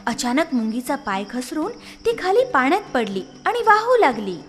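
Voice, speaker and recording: A woman tells a children's story in an expressive, scripted narration. Her voice is high and swings widely in pitch. It carries a long reverb, with faint music underneath. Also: normal speed.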